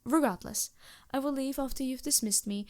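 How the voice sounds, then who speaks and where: A woman voicing a polite, anxious and gentle vampire with formal, earnest phrasing in a fantasy audio roleplay. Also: sits high, moderate pace, voice pushed up, natural voice. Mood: polite, reassuring. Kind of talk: fantasy ASMR roleplay acting.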